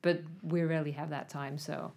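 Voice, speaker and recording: Female academic co-host, low voice, thoughtful. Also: little pitch movement, normal speed, a little mellow. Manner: reflective, conversational. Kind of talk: casual academic chat.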